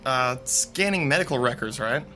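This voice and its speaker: An actor playing a friendly, helpful young college stagehand who likes to explain things, in naturalistic radio drama dialogue. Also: male voice, mid-range voice, expressive, normal speed, pushed and bright, soft background music. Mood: easygoing, concerned.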